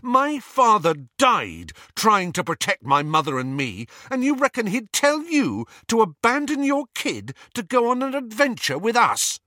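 A man's voice: medium pitch, big pitch swings, measured pace, pushed and bright, no room sound, clean studio sound, exaggerated voice. A man voicing a hot-headed, accusing teenage boy in an audiobook story. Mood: furious, accusing.

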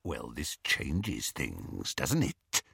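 A man voicing a menacing male werewolf who speaks slowly, quietly and with a rasp, often near a whisper. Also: rumbling low, huge pitch swings, dry recording, studio quality, voice pushed down, full character voice. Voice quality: rasping, growling. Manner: slow, quiet and breathy.

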